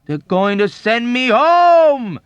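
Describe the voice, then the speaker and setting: A man's voice: high voice, wildly swooping pitch, fairly quick, fairly bright tone, dry recording, pitched above the performer's own voice, full character voice. A man voicing an exasperated, frantic young male airman who talks urgently and emphatically. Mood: eager. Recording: dull dry audiobook recording.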